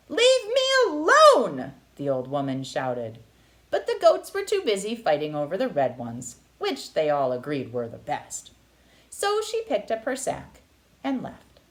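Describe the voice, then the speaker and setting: A woman narrating a children's picture book aloud with expressive, dramatic phrasing. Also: sits low. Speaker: read-aloud storyteller.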